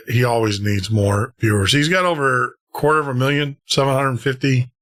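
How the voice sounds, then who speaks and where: A man's voice: medium pitch, fairly bright tone. A man hosting a late-night paranormal radio podcast, closing the show in a casual, upbeat way and often repeating words and restarting phrases. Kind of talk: casual on-air sign-off with announcements.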